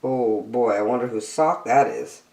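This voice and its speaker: A woman's voice: extremely low pitch, unhurried, dark tone, roomy sound. A woman hosting story time, reading a picture book aloud to young children expressively, with chatty asides and questions.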